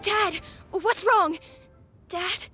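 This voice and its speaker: A woman's voice: extremely high pitch, extremely slow, sharp tone, full character voice. A woman voicing an anxious, devoted young child in a video game, with a childlike, fretful, pleading manner. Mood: frightened. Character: anxious, devoted little child of a sick father. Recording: faint music behind the voice.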